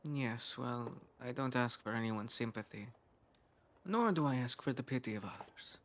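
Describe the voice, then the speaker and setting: Male actor as frail, driven young scientist for an intimate ASMR roleplay; earnest, musing, measured, mid pitch. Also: expressive, a bit bright, close to an everyday voice.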